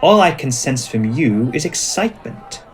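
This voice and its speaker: A man playing an ancient, suspicious male vampire in an ASMR roleplay, speaking in formal, measured, commanding phrases. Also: medium pitch, big pitch swings, close to an everyday voice. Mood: probing, suspicious. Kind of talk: scripted ASMR roleplay acting.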